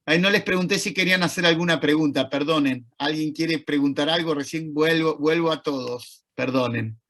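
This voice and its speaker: Argentine man with a gravelly voice. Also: fairly high pitch, a bit bright. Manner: thoughtful, conversational, pauses to search for words. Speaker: lecturer. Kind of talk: online talk to an audience. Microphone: video call.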